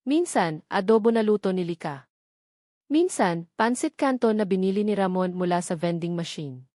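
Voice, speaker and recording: A woman's voice: mid-range voice, animated, moderate pace, mellow, no echo, clean studio sound. A woman reading a scripted love story as a dramatic narrator and storyteller.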